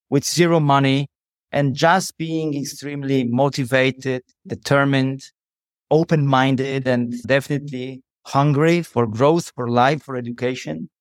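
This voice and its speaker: A man's voice: medium pitch, no room sound, studio quality. Earnest Polish-accented man. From Poland. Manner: earnest, motivational, slightly non-native phrasing. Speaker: CEO guest. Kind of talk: interview answers.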